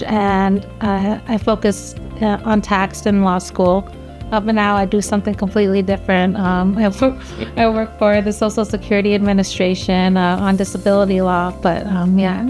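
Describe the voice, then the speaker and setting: A woman in her thirties or early forties from New Jersey, reminiscing conversationally as an interviewee, in a halting way with small restarts. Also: mid-range voice, level tone, a little mellow, faint music behind. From grew up in New Jersey. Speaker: law school alumna, interviewee.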